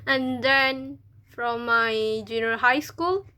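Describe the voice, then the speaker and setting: A woman's voice: on the high side, slow pace, sharp tone, clear, resonant tone. Chatty young woman with an Indonesian accent. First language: local Indonesian language. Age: young adult. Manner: chatty, hesitant, with many restarts and searching for words. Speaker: university student. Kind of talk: casual video talk to camera.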